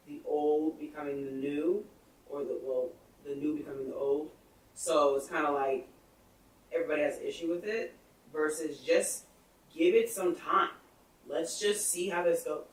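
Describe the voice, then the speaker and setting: Female, 30s, podcast co-host, earnest casual opinions, mic far off in a roomy space. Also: sits low. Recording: echoey room, far from the mic.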